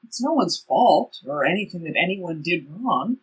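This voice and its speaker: A woman's voice: fairly low pitch, sing-song, moderate pace, breathy edge, rough recording. A woman playing a very old, polite and wistful woman in a stage play reading.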